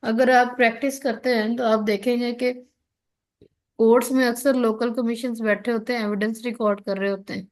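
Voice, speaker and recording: A woman lecturer with a Pakistani accent and a fairly high voice. She explains material in a teaching style, posing questions and answering them herself. She regularly checks that the listener is following. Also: level tone, moderate pace. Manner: checks understanding often, asks and answers own questions. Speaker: law lecturer. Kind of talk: explanatory online lesson, reading out and explaining legal text.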